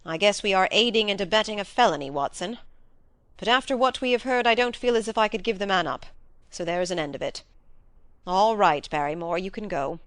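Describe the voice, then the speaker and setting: A woman's voice: mid-range voice, brisk, fairly bright tone, dry recording, close to an everyday voice. A woman voicing a firm, fair-minded male baronet with a direct, emphatic and formal manner, in a dramatised novel reading. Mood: conceding.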